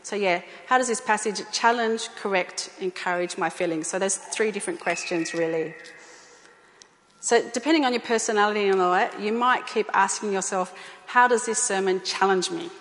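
A woman's voice: medium pitch, moderate pace, a bit bright, a little hiss or hum. Woman teaching a group in an echoey hall. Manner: conversational, repeats phrases, many fillers. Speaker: speaker teaching a church group. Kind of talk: informal talk to a group.

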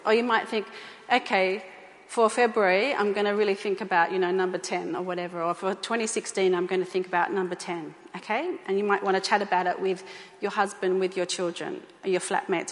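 A woman's voice: mid-range voice, fairly bright tone. Woman teaching a group in an echoey hall. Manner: conversational, repeats phrases, many fillers. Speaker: speaker teaching a church group. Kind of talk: informal talk to a group.

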